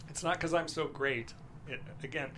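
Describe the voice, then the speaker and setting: A man's voice: a little high, normal speed, pushed and bright, slight background noise. A man speaking as a screenwriter guest, talking candidly and passionately about his writing in a rambling way, often checking that listeners agree.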